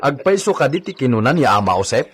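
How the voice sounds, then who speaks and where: A man's voice: mid-range voice, big pitch swings, fast talker, breathy edge. An actor playing a questioning, obedient hacienda worker who speaks respectfully to his master in a radio drama. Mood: curious. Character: inquisitive, obedient male hacienda worker addressing his señor.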